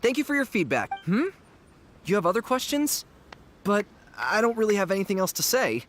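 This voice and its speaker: Lively, earnest young festival ambassador. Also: mid-range voice, brisk. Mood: earnest, unsure. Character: earnest, literal-minded young festival feedback ambassador, gang underling. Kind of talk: scripted video game character dialogue. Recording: game music behind the voice.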